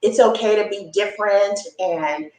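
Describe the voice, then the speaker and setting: A woman hosting a children's story time, reading a picture book aloud with expressive, encouraging asides and simple explanations. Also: mid-range voice, moderate pace, echoey room, poor audio.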